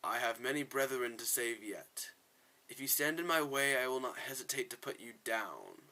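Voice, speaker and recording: A man voicing a scornful, menacing supervillain from a video game story, sneering, deliberate and condescending. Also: mid-range voice, big pitch swings, sharp tone, rough recording. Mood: menacing, disdainful. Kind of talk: reading game story dialogue aloud.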